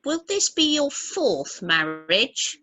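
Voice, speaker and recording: Casting: actress as nosy, chatty expectant young woman, very animated melody, sharp bright tone, radio play gusto. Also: a little high, slow pace, exaggerated voice. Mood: curious, gossipy. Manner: gushing, gossipy. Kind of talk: amateur radio play acting.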